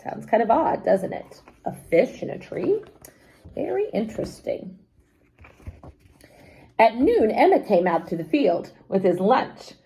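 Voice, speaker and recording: A woman reading a children's story aloud expressively, pausing to explain things simply to young listeners. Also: fairly high pitch, vocal fry. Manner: animated, unhurried, explains words simply to children.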